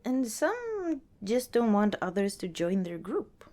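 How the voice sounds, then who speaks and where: A woman playing a friendly, protective young wanderer in an ASMR roleplay, chatting casually and gently with hesitant pauses and small chuckles. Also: mid-range voice, sing-song. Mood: chatty, wistful. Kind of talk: ASMR roleplay, casual one-sided dialogue.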